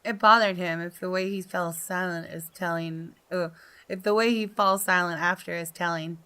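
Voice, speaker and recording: Bright-voiced woman reading a story. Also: lowish voice, steady tone, moderate pace, smooth. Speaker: gaming video host. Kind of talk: reading visual novel narration aloud.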